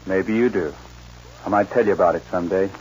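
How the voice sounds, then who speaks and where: An actor playing an easygoing but stubborn cowboy loner in a 1940s western radio drama, with a folksy, plainspoken way of talking. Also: male voice, low voice, fairly quick, a little mellow, a little hiss or hum, natural voice. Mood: mild, reflective. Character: easygoing, stubborn young-hearted cowboy loner called Wyoming. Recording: dull 1940s radio recording, music.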